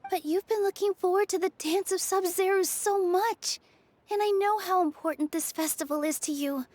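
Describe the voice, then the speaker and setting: An actress playing a gentle, idealistic young dancer in a video game, speaking in a sweet, earnest way. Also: female voice, extremely high pitch, normal speed, no room sound. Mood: anxious, caring.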